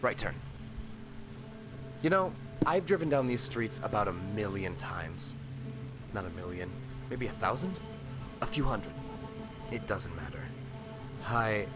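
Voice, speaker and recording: A man's voice: on the low side, big pitch swings, a little slow, pauses often. An actor playing a rattled delivery driver who rambles and corrects himself as he mutters to himself in an audio drama. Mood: muttering, focused. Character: rattled, rambling delivery driver disoriented after head injury. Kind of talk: post-apocalyptic audio drama monologue. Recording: radio transmission with music behind.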